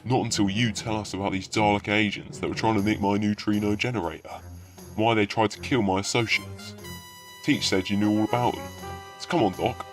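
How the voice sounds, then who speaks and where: A man's voice: on the low side, fairly even intonation, a little fast, over music, lightly acted. A man playing a smug, self-assured half-cactus alien market boss in an audio drama. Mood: smug, threatening.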